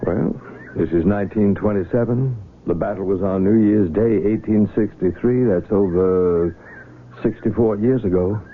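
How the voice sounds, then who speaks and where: An actor playing a doctor who explains the case in a calm, measured way. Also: male voice, on the low side, close to an everyday voice. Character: calm, matter-of-fact hospital doctor. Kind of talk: 1970s radio drama acting. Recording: tinny old radio broadcast with faint noise.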